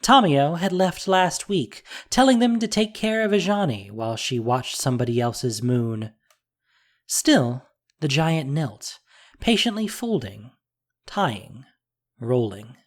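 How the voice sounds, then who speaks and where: A narrator reading a scripted fantasy audio drama in a measured, dramatic, descriptive way. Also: mid-range voice, lively intonation.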